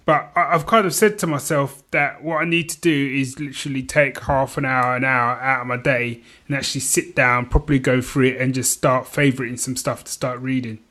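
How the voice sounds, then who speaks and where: A man's voice: medium pitch, fairly even intonation, brisk, few pauses, pushed and bright. A man chatting casually as a podcast co-host in an informal, easygoing, agreeable way.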